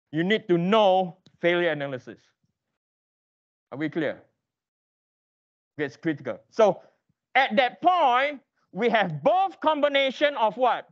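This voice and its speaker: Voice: mid-range voice, fairly bright tone, highs cut off. An engineering lecturer teaching interactively in a classroom, quizzing students with emphatic, repeated questions and prodding them for answers.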